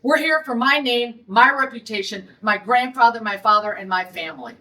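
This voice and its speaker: A woman's voice: a little high, normal speed, bright, forceful tone, far from the mic. A middle-aged woman from Detroit with a Detroit accent, a restaurant owner holding a blunt, indignant press conference.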